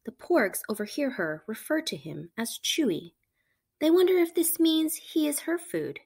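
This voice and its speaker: A woman reading a picture book aloud to children, with animated, emphatic storytelling delivery. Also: high voice, moderate pace, creaky. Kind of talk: expressive read-aloud narration for children.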